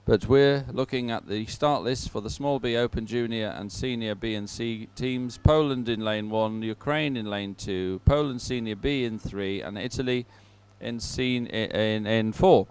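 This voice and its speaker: A man giving live sports commentary at a regatta in an informal, halting way with hesitant pauses. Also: fairly low pitch, a little mellow, clean tone.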